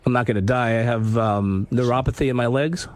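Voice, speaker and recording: A man's voice: medium pitch, brisk, no room sound. A man arguing defensively on talk radio, sounding aggrieved and repeating himself. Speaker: show staffer, guest in argument. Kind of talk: unscripted argument on talk radio. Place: radio studio.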